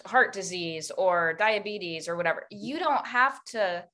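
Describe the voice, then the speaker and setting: A woman's voice: mid-range voice, a little slow, bright, forceful tone. A woman hosting an interview in casual conversation, speaking reflectively and encouragingly with many fillers.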